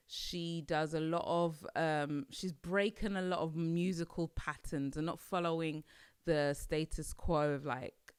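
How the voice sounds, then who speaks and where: A woman's voice: low-pitched, clear, resonant tone, no room sound, clean studio sound. A young adult woman from Nigeria hosting a podcast, chatting casually and musing aloud with hesitant restarts. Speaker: podcast host. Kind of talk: casual solo podcast chat.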